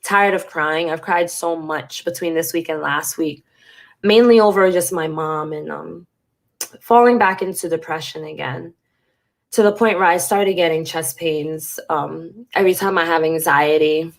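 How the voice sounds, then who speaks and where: A woman's voice: low voice, fairly even intonation, unhurried, gravelly. A woman vlogger with a congested, stuffy voice, talking frankly and emotionally to camera and swearing casually. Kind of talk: candid talk to camera.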